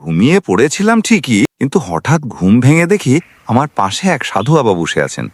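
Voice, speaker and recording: Man as husky, very animated simple farmer. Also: medium pitch, a little fast. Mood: earnest. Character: simple lazy farmer earnestly recounting to his wife. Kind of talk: dubbed cartoon dialogue.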